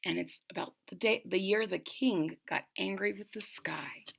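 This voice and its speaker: A woman's voice: mid-range voice, moderate pace, vocal fry, a bit bright, breathy edge. A woman reading a children's picture book aloud expressively, with explanatory asides as a storyteller.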